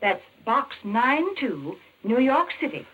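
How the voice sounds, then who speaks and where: Animated high-voiced woman in vintage radio advertising. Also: takes it slowly, breathy edge. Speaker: radio commercial spokeswoman. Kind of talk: 1940s radio advertising pitch. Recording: tinny, band-limited 1940s radio recording.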